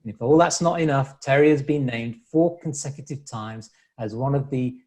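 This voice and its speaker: A man hosting an interview, reading a measured, prepared introduction and then putting questions to his guest. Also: medium pitch, darkish tone. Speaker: host.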